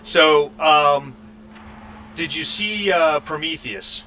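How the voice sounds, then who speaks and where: A man running a tabletop roleplaying game as game master, speaking in a halting, thinking-aloud way. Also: a little high, bright, forceful tone. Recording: clearly noisy background hum.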